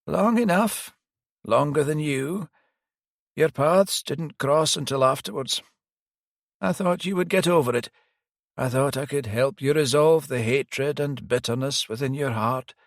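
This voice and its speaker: A man doing a soft-spoken, sorrowful old priest. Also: vocal fry, dry recording, studio quality. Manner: soft, slow and sighing, higher than the narrator. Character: elderly male priest, gentle and sorrowful.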